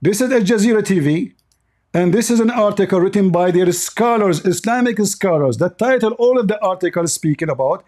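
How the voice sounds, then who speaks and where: High-voiced combative man with an Arabic accent. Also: animated, brisk, a little mellow. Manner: combative, insulting, mocking, insistent. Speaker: debater. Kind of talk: heated live debate.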